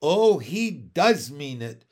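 A man's voice: on the high side, sing-song, slow pace, heavily acted. A man voicing a fussy, haughty lady dog owner in a storybook, indignant and wailing in her exclamations. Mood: distressed, pleading.

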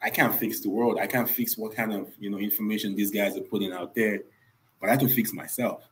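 A man's voice: on the low side, fairly even intonation, brisk, fairly bright tone, slight background noise. A young man from Nigeria, a guest on a podcast, telling his story in an earnest way and repeating points for emphasis. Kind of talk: casual podcast interview.

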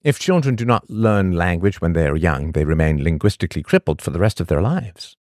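A man with a low voice reads a scripted podcast monologue. His pitch rises and falls widely as he asks rhetorical questions and leans on emphatic pauses. The recording is dry and close, with no room sound. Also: lively intonation, a little mellow, no room sound. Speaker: podcast host.

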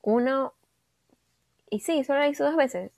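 A woman's voice: high-pitched, a little slow, vocal fry, a little mellow, no room sound. A woman, chatting casually and talkatively as a podcast co-host, slipping in the odd English phrase. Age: 20s. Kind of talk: casual chat.

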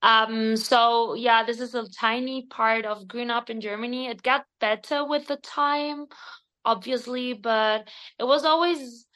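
A woman from Spain with a Spanish accent, a native Spanish speaker in her thirties, talking as a podcast guest in a direct, expressive way with hesitant fillers. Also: on the high side, measured pace. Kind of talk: casual interview conversation.

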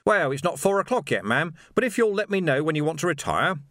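A man acts a calm police officer in an audiobook, courteous and wry. His delivery is deadpan but varied in pitch, closely and dryly recorded. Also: quick pace, dry recording, studio quality. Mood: wry politeness. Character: unflappable, wryly polite male police officer. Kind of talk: audiobook character voice.

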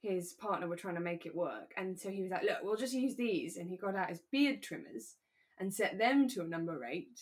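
A woman co-hosting a podcast, chatting in a relaxed, conversational way about a radio drama. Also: fairly low pitch, fairly quick. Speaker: podcast co-host.

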